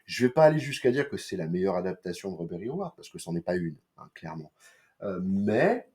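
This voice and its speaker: Guy from france chatting about comics, kinda low voice, rambles a lot with tons of fillers and swears. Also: sing-song, brisk, mellow. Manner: rambling, full of fillers and slang, swears casually. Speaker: comics reviewer. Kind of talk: casual crude-talking comics review.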